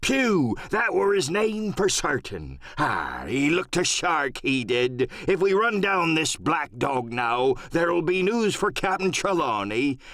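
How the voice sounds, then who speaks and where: A man's voice: on the high side, very animated, slow pace, few pauses, a bit bright, dry recording, voice pushed up, full character voice. A man voicing a hearty, cunning old pirate sea cook in an audiobook, talking in folksy, salty seafaring slang. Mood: excited, blustering. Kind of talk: audiobook radio-play character acting.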